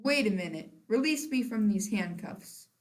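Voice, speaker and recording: A young woman plays a flustered Hollywood director in a stage comedy. Her voice sits at a middling pitch. She sounds theatrical and keeps trying to placate. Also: expressive, moderate pace, close to an everyday voice. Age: teenager. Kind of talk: school one-act comedy stage acting.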